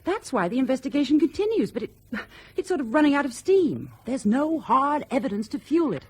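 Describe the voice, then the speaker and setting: A woman's voice: high voice, very animated, a little fast, a little mellow. An actress playing a shrewd, candid woman journalist in a radio drama, speaking in a frank, matter-of-fact way. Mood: sober argument.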